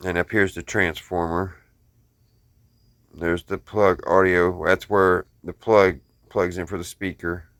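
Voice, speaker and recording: A man's voice: sits low, level tone, slow pace, a bit bright, no room sound, clean studio sound. An American man talking casually to viewers in a folksy, rambling show-and-tell, thinking aloud as he goes. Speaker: hobbyist video host.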